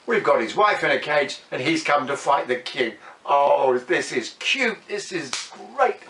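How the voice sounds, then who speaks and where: A man's voice: on the high side, very animated, measured pace, fairly bright tone, heavily acted. A man voicing a palace guard who laughs and mocks sarcastically. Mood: mocking. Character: mocking male palace guard. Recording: echoey room, mic at a distance.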